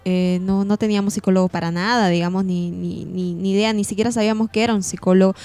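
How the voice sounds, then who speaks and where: A woman's voice: mid-range voice, fairly even intonation, fast talker, a little mellow, clean tone, no room sound. A woman with a Bolivian Spanish accent in an informal on-air discussion, feeling out her ideas with repeated words and hesitations. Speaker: radio discussion panelist.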